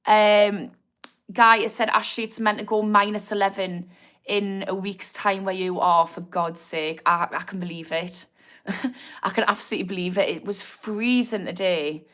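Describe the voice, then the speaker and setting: Young Geordie woman, casual livestream host, informal and chatty, mid-pitched. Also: steady tone, normal speed, fairly bright tone. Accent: Geordie (Newcastle). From Newcastle, England. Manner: chatty, informal, reads viewer comments aloud, laughs easily. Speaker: livestream host on addiction recovery. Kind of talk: casual live-stream chat reading comments.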